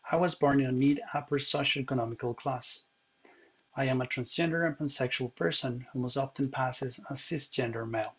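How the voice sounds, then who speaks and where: A man reads a prepared presentation in a measured, earnest voice that slips on a word now and then. His voice is gravelly and a little husky. The recording is muffled and lo-fi. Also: mid-range voice. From Ecuador. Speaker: counseling student. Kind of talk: read presentation narration.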